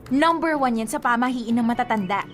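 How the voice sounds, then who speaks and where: A woman's voice: fairly high pitch, fairly quick, lightly acted. A woman playing a stubborn, superstitious young Filipino girlfriend in a radio drama, girlish and pleading. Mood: anxious insistence. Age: young adult. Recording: music bed under the voice.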